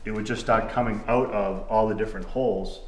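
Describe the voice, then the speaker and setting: A man in his thirties with a lowish voice walks a visitor through masonry repairs, laying out each step in a plain, methodical way. The lapel mic picks him up inside a roomy old barn with a faint hiss underneath. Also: fairly even intonation, brisk, distant mic. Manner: plain, methodical technical explanation. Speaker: masonry contractor. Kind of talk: explaining restoration work on a site tour. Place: large old barn and outdoor ruins. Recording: echoey barn room, faint noise.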